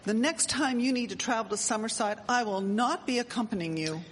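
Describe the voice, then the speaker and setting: A woman's voice: fairly high pitch, fairly quick, a little hiss or hum, close to an everyday voice. A woman playing a fussy, genteel lady in a live radio drama. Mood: displeased. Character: fussy, genteel lady, easily won over by finery. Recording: echoey hall.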